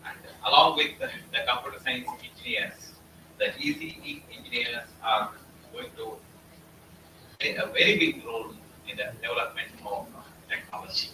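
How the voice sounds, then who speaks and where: A man giving a formal conference speech, pausing often with "uh". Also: mid-range voice, wildly swooping pitch, bright, forceful tone. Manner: halting, many "uh" pauses. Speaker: guest speaker at a conference. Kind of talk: formal speech with frequent fillers. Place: auditorium, heard from a distance. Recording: roomy hall audio from far off, noisy.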